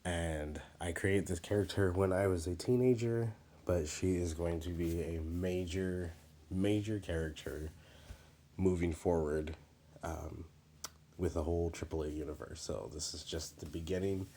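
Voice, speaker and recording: A man's voice: deep voice, measured pace, dark tone. A man talking casually over his drawing, his voice a little scratchy as he gets over being sick, rambling with many fillers and restarts. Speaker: artist narrating his drawing process.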